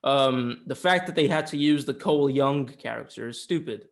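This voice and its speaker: A man's voice: mid-range voice, moderate pace, gravelly. A man co-hosting a casual film discussion, argumentative and emphatic, with some casual swearing. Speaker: podcast co-host reviewing films.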